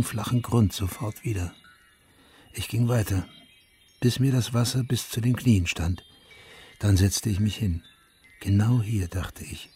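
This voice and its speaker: An actor playing a haunted middle-aged veteran and father, narrating his story in a radio drama in a subdued, measured, reflective way with a husky voice. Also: lowish voice, mellow, a little hiss or hum, lightly acted. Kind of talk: literary first-person radio drama narration.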